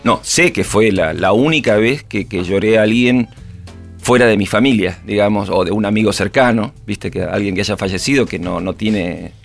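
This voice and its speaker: A man's voice: fairly low pitch, normal speed, creaky, faint music behind. A middle-aged man, chatting as a guest in an interview, hesitant with many restarts and fillers. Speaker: guest musician. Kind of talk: casual interview chat.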